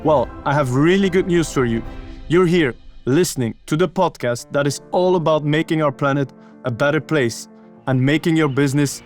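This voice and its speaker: A male podcast host with an upbeat, friendly delivery, moving from a read intro into relaxed questions. Faint music plays underneath. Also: a little high, moderate pace. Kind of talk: scripted podcast intro, then casual interview questions.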